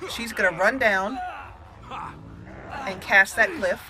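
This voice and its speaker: A woman hosts a gameplay video in a bright, forward voice. She chats casually through her moves step by step, with a faint bed of music behind her. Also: mid-range voice. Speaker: video game let's play host.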